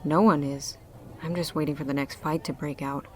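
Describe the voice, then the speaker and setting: A woman's voice: deep voice, fairly even intonation, normal speed, slight background noise, lightly acted. A woman voicing an unsure, modest young student trainee in a fan-made anime audio drama, polite and hesitant. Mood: concerned.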